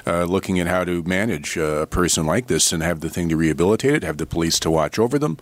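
Fast-talking deep-voiced man on talk radio. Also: no room sound. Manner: earnest, emphatic, quick-talking. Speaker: studio guest commentator. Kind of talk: radio talk show discussion. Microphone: studio microphone.

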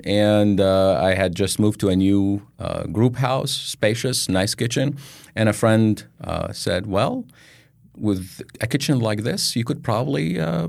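A man from Iraq telling his story as an interview guest, in halting phrases with frequent pauses. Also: mid-range voice, creaky, a little mellow, dry recording.

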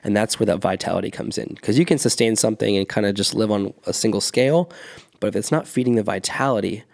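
A man's voice: fairly low pitch, fast talker, vocal fry, a little mellow. A man in his thirties, a fitness coach co-hosting a podcast, explaining earnestly in a casual chat with restarts.

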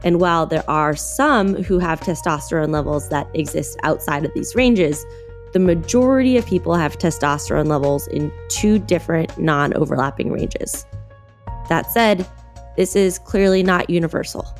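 A woman with a fairly low voice narrates a video news report. Her delivery is scripted, earnest and measured. Faint music plays behind her. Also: expressive.

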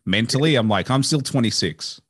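A man's voice: medium pitch, animated, fairly quick, a bit bright, breathy edge, no echo, clean studio sound. A man in his late twenties chatting casually on a podcast in an easygoing way. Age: late 20s.

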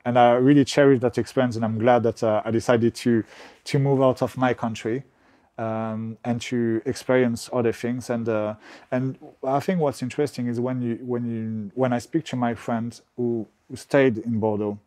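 A man from France with a French accent, speaking in a podcast interview as a real estate agent, pausing often and restarting his sentences. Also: medium pitch, fairly even intonation, mellow. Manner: halting, many restarts and fillers. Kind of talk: conversational interview.

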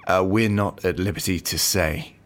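An actor playing a calm, cautious investigating agent in an audio drama, measured and understated. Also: male voice, low voice, lightly acted. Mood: calm, guarded. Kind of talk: naturalistic audio drama acting.

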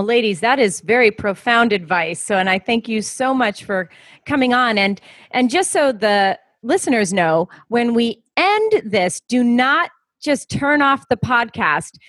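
A woman's voice: a little high, no room sound. A woman hosting a podcast interview in a conversational style.

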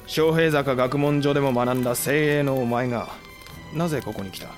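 An actor playing a cool, shrewd samurai commander who is gruff but caring, speaking tersely in a Japanese period audio drama. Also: male voice, medium pitch, moderate pace, soft background music, lightly acted. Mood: grave.

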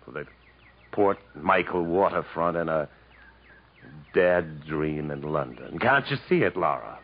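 An actor playing a bitter, sardonic, fatalistic jungle wanderer in 1950s American radio drama style, dramatic and mocking. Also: male voice, rumbling low, animated, a little slow, breathy edge, heavily acted. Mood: rising bitter intensity. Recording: muffled, noisy 1950s radio recording.

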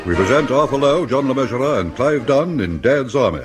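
An English man announcing formally in the style of a wartime radio news bulletin, with a husky voice. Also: mid-range voice, expressive, a little fast, over loud music. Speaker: announcer and newsreader.

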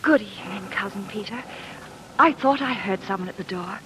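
An actress playing a gracious young eighteenth-century gentlewoman, speaking formally in 1940s radio drama style. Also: medium pitch, moderate pace, close to an everyday voice, poor audio. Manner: courtly, formal, polite. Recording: vintage radio broadcast with clear noise.

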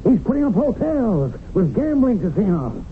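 An actor in a 1970s radio drama playing an excitable, gullible land buyer with a huffy, emphatic manner. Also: male voice, high-pitched, moderate pace. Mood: suspicious, excited. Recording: tinny band-limited old radio broadcast, hissy.

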